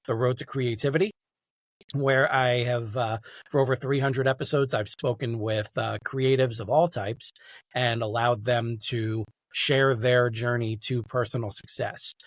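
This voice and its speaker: A man's voice: mid-range voice, a bit bright, dry recording. A middle-aged American man from New York City, speaking conversationally as a podcast guest and audiobook narrator. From New York City, United States. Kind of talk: conversational interview.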